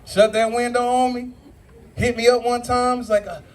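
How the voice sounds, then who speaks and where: A man's voice: very high-pitched, level tone, normal speed. A man doing a brash, profane stand-up set in a brew pub comedy club, acting out other people in his bits.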